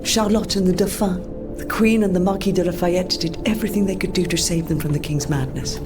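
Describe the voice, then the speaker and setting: Anguished, low-voiced noblewoman played by a woman. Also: brisk, noisy background, close to an everyday voice. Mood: anguished, mournful. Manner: formal, dramatic, mournful. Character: anguished French noblewoman of the royal court. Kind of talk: video game voice acting. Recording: game dialogue over loud music.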